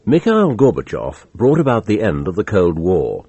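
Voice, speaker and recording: A man's voice: lowish voice, huge pitch swings, moderate pace, warm tone, breathy edge, lo-fi sound. A man presenting scripted radio news narration in an authoritative manner.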